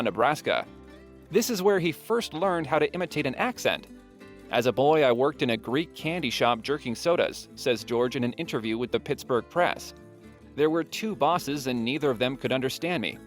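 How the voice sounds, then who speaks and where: A man reading scripted documentary narration in a playful, wry manner, with joking asides. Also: mid-range voice.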